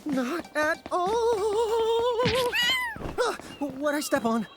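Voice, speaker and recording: An actress voicing a small, childlike, clumsy and whiny character in a fantasy video game. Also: female voice, very high voice, sing-song, very slow, heavily acted. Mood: whiny, shivering. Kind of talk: video game cutscene acting.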